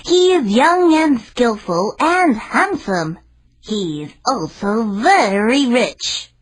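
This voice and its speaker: A squeaky, scheming storybook cat. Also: male voice, very animated, slow pace, husky, full character voice. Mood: eager. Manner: eager, persuasive, urgent. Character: scheming clever talking cat, male. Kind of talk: storybook character voice.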